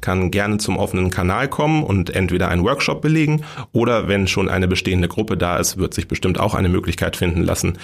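A man's voice: deep voice, a little fast, vocal fry. A man, explaining things informally and step by step as an interview guest. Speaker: radio play workshop leader, interview guest.

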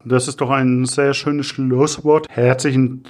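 A podcast host, a man, who asks his questions slowly and in a flat, even tone. He stutters, getting stuck on the first sounds of words, and his voice sits at a middle pitch. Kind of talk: interview questions.

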